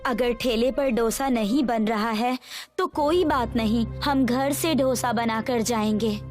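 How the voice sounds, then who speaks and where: A woman's voice: a little high, a little slow, close to an everyday voice. A woman playing a supportive, practical wife of a street food vendor in a cartoon story. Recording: music behind the voice.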